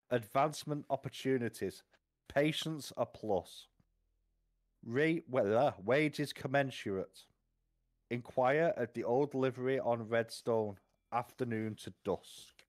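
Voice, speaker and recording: A man narrating a fantasy novel aloud at an unhurried pace, now and then stumbling and correcting himself. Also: mid-range voice. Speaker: live stream reader.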